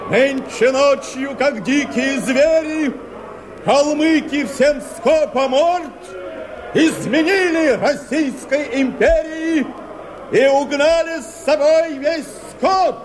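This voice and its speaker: A man's voice: extremely high pitch, slow pace, noisy background. An actor, a man, playing a fierce, urgent army commander who declaims verse emphatically in a radio play. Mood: furious commanding. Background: music.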